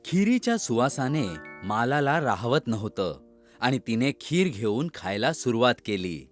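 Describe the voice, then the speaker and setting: A man's voice: mid-range voice, sing-song, unhurried, soft background music. A man narrating a scripted children's story as the narrator.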